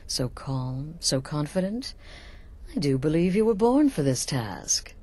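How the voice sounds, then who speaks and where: An actress playing a cool, scheming assassin leader in a video game, measured, confident and conspiratorial. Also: female voice, sits low, very animated, a little mellow, full character voice. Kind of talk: video game character dialogue.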